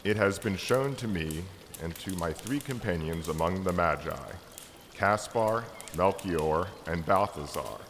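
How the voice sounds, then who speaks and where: A devout ancient stargazer played by a man declaims in a very low voice, solemn and full of earnest hope. It is a dramatic story reading, heard in a reverberant hall with some noise. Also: lively intonation, measured pace. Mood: earnest, hopeful. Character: Artaban, devout, hopeful Persian Magus and stargazer. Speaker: amateur church reader.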